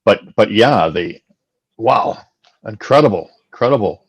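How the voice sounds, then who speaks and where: A man's voice: on the low side, lively intonation, measured pace, slightly rough, muffled recording. A man chatting casually as host, affirming his guest with frequent yeahs. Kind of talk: casual chat.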